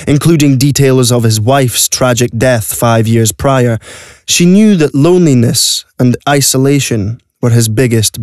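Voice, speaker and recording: A man narrates a story from a script. His voice sits at a medium pitch. It is recorded dry in a studio, with no room sound. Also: a little mellow, dry recording.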